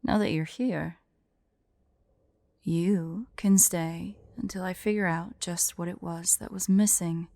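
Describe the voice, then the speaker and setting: Woman voicing a slow, haughty, teasing dragoness. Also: on the low side, fairly even intonation, mellow, no room sound. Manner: slow, imperious, playful, breathy near-whispers at times. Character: haughty, teasing female dragon, vain and secretly fond. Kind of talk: intimate ASMR roleplay and storytelling.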